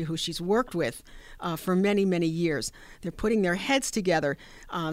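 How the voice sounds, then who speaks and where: A woman's voice: mid-range voice, dry recording. A woman hosting a radio talk show, upbeat, with many fillers and restarts, speaking into a studio mic.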